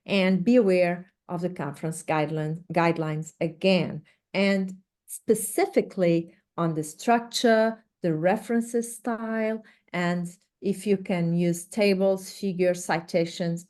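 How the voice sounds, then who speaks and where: A woman from Portugal, a native Portuguese speaker with a Portuguese accent, presents an instructional webinar in a halting, hesitant way with many pauses. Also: on the low side, darkish tone. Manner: hesitant, halting delivery with frequent pauses and repeated words. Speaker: research director presenting a webinar.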